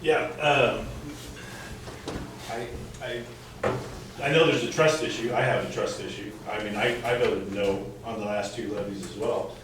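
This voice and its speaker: Distant echoey recording, faint noise, American man, lowish voice, halting skeptical questioning, public meeting. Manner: halting, repeats words, pressing and skeptical. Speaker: resident questioning a school board. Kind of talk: impromptu public comment at a community meeting. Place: indoor community meeting room, recorded from afar.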